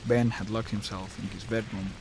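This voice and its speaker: A man's voice: on the low side, little pitch movement, normal speed, vocal fry, background noise. A man narrating a scripted horror story as the narrator, recorded close to the mic.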